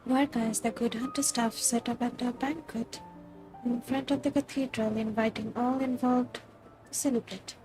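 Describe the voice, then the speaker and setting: A woman streamer with a high voice reads game text aloud. Faint music plays behind the voice. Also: steady tone, moderate pace.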